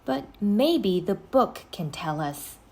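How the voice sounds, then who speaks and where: A woman's voice: medium pitch, very animated, slow pace, dark tone. A woman voicing a cautious, sceptical and worried young boy in a children's storybook. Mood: anxious scolding.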